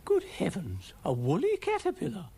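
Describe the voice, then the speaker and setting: A man's voice: very high-pitched, huge pitch swings, a little slow, dark tone, voice pushed up, full character voice. A man voicing a vain, genteel female cow in a children's story with an affected, refined manner. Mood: grand, curious. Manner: affected, refined, addressing others as dear. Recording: clearly noisy recording.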